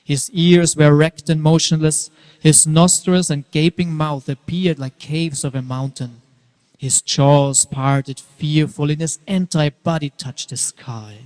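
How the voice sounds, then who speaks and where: A man narrating a dramatic scripture reading in a theatrical, emphatic way, swelling and hushing for effect. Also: a little high, fairly even intonation, measured pace. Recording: long reverberant hall echo.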